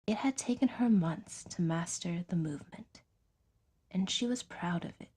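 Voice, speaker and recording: A woman's voice: fairly low pitch, normal speed, darkish tone, husky. A woman reading scripted story narration as the narrator of an audiodrama.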